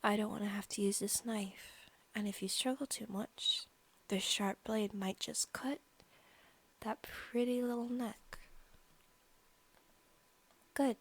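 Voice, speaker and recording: A young woman playing a possessive female vampire student in an intimate ASMR roleplay, softly spoken, partly whispered, coy and taunting. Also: medium pitch, steady tone, husky. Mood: menacing, excited. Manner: soft, slow, coy talk sliding into taunting. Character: female student vampire, possessive, sweet-talking, menacing predator.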